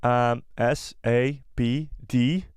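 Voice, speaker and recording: A man's voice: mid-range voice, steady tone, drawn-out words, a little mellow, smooth, no echo, clean studio sound. A man hosting a live fan stream, giving firm opinions, reading viewer replies aloud, and losing his voice. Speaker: host of a live fan show.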